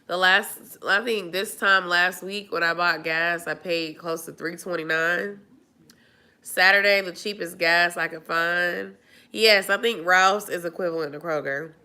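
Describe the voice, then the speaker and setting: A woman's voice: fairly low pitch, fairly even intonation, unhurried, bright, forceful tone, clean tone. A woman chatting casually on a live stream, nasal and stuffy from a cold, rambling with lots of fillers. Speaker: vlogger answering live chat.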